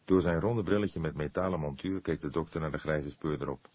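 A male narrator reads crime fiction from a script. His pitch sits very low and the tone is husky. The recording is dry, with hardly any room around the voice. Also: brisk, a little mellow, no room sound. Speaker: audiobook narrator.

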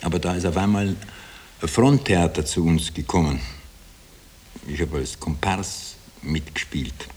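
A man's voice: deep voice, measured pace, darkish tone, a little hiss or hum. An actor narrating a radio drama as a wry Austrian everyman, confiding his story with self-deprecating irony. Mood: wry, resigned. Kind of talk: first-person narration in 1960 radio drama.

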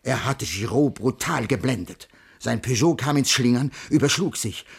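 An actor playing a pedantic, earnest middle-aged ethics teacher with formal, stilted diction in a radio crime play. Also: male voice, medium pitch, expressive, moderate pace, vocal fry, slightly rough, full character voice. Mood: dramatic, urgent. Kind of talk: 1980s German radio crime play acting.

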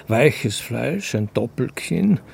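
The narrator of a radio crime drama, telling the story in a gravelly, mid-pitched voice. Faint noise and ambience sit behind the narration. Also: male voice, sing-song, slow pace, a little mellow, exaggerated voice. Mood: measured narrating.

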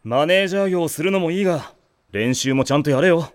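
Quick-talking no-nonsense schoolboy played by a man. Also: a little high, expressive, natural voice. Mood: dry, firm. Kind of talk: Japanese anime audio drama.

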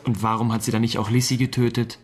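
A man's voice: medium pitch, flat delivery, quick pace, natural voice. An actor playing a smug, argumentative young man in a radio drama, confident, insistent and matter-of-fact. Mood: cocksure. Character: cocksure young know-it-all, argumentative, smug.

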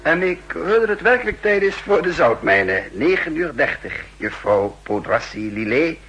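Jaunty, flirtatious boss, lively pitch. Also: male voice, moderate pace, slight background noise. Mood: improvising, breezy. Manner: breezy banter with hesitations and tag questions. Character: jaunty, teasing, flirtatious middle-aged male intelligence boss. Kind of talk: 1960s Dutch radio drama acting.

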